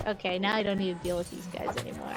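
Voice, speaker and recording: A woman's voice: fairly low pitch, wildly swooping pitch, quick pace, vocal fry, very noisy. A woman giving casual gameplay commentary as a streamer, thinking aloud as she plays. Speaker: game streamer. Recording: game music under the voice. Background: video game music.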